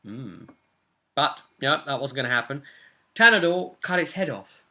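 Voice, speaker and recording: Young male game master, forceful bright tone, medium pitch, informal session recap. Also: lively intonation, measured pace. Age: young adult. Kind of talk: casual recap of a tabletop role-playing session. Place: small room at home, indoors.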